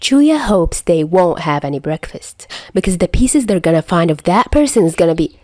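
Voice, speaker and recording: A woman's voice: on the low side, very animated, normal speed, darkish tone, no echo, clean studio sound. A woman reading a scripted story as an amateur audiobook narrator. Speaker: amateur fan fiction narrator.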